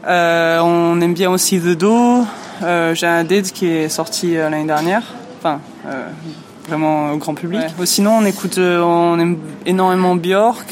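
A woman's voice: lowish voice, steady tone, measured pace, a little hiss or hum. A woman whose first language is English, a musician answering interview questions hesitantly, with many fillers and restarts. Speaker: musician in a duo. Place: lives in France.

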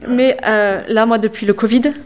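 A young woman from an environmental association answering interview questions, hesitant with many fillers and slowly spelling words out. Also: fairly high pitch, steady tone, darkish tone. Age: young adult.

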